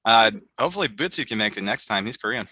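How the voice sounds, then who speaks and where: Rambling man host, very bright voice. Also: medium pitch, animated, fast talker, no room sound. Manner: rambling, filler-heavy. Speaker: podcast host. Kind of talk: casual chat.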